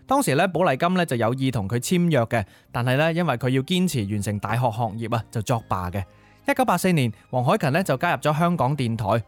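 A man hosting a music programme, delivering scripted music-history narration. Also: fairly high pitch. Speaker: host of a Cantonese music nostalgia programme. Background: background music.

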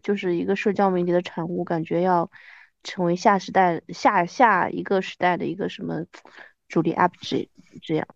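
A woman in her thirties hosting a podcast, chatting casually and reminiscing in an animated, self-deprecating way. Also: lowish voice, moderate pace, gravelly, dry recording, highs cut off. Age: 30s. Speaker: podcast host.

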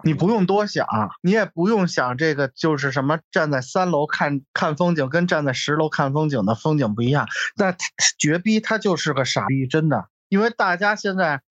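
Male podcast guest, thirties, fairly high voice, blunt casual opinions with swearing, slightly lo-fi sound. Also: lively intonation, moderate pace, no room sound. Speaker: podcast guest with workplace experience. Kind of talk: casual chat.

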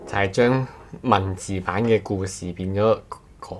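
A man with a Cantonese accent co-hosting a podcast in casual chat, speaking in halting, rephrased fragments. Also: sits low, slight background noise. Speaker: podcast co-host.

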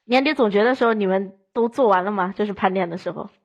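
A woman's voice: medium pitch, quick pace, muffled recording. A young adult woman in a casual podcast chat, speaking in a sensible, explanatory way.

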